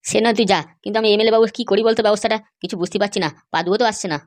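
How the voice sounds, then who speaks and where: A sensible older-brother cartoon man, played by a woman, talks very quickly at a middling pitch. He sounds firm, blunt and matter-of-fact. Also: steady tone, no room sound, natural voice. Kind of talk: comedy cartoon dialogue.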